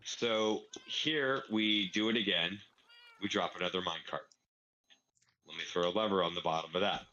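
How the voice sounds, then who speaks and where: A man's voice: sits low, moderate pace, pushed and bright, highs cut off. A man explaining a game build casually, step by step, thinking aloud as he plays. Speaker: player explaining a farm build. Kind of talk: casual gaming tutorial.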